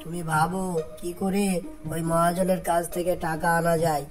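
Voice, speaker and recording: A woman's voice: on the low side, little pitch movement, moderate pace, music in the background, natural voice. A woman voicing a simple, timid, gullible poor woodcutter husband in a cartoon folk tale, plaintive and pleading. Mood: dejected, worried.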